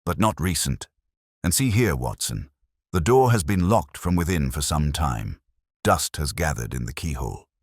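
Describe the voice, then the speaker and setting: A man voicing a calm, curt gentleman detective with a smooth, formal manner in an audiobook story. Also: rumbling low, moderate pace, no echo, studio quality, exaggerated voice. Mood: grave, measured.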